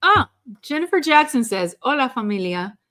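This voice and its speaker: A woman's voice: high-pitched, very animated, moderate pace, bright, forceful tone. A woman co-hosting a casual live Q&A with her husband, easygoing, often laughing and teasing him. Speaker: podcast co-host.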